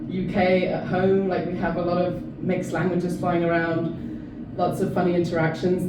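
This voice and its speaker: A woman's voice: on the low side, recorded from a distance. A South African-born woman in her thirties doing a stand-up set, chatty and self-mocking, often laughing at her own jokes. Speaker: stand-up comedian. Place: comedy club stage. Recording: echoey room, mic from afar, noisy.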